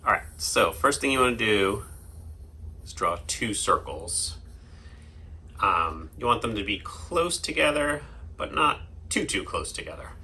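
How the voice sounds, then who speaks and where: A man's voice: fairly low pitch, bright, forceful tone. A man hosting a children's drawing lesson, casually talking through each step in an informal, playful way with simple explanations. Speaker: host of a children's drawing segment.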